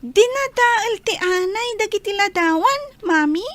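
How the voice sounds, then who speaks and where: Woman acting very high-pitched curious young child. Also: lively intonation, a little slow, a bit bright, no room sound, heavily acted. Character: young child, curious granddaughter missing an absent father. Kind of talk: Filipino audio drama dialogue.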